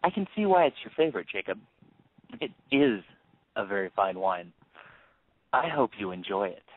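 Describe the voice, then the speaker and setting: A man's voice: on the low side, big pitch swings, dry recording. Man acting vengeful father on a tinny line. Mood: cold, gloating. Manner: measured, accusing, menacing, dwells on repeated phrases. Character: vengeful grieving father, coldly confronting his oldest friend. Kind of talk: audio drama acting. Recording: phone-like lo-fi line.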